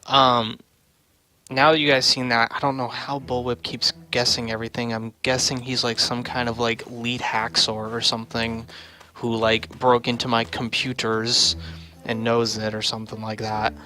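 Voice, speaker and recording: A man's voice: medium pitch, flat delivery, normal speed, pushed and bright. A man giving casual, unscripted game livestream commentary, thinking aloud in offhand short fragments. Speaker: livestream host and game developer.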